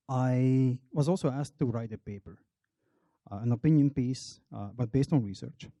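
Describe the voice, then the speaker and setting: A man's voice: medium pitch, dark tone, no echo, lo-fi sound. A middle-aged Belgian man giving a public talk, telling a wry, self-deprecating personal story. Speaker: marketing researcher on a panel.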